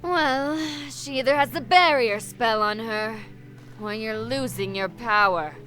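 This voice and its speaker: A woman's voice: on the high side, a little slow, fairly bright tone, faint music behind. A woman voicing a cold, detached young female devil who kidnaps the narrator.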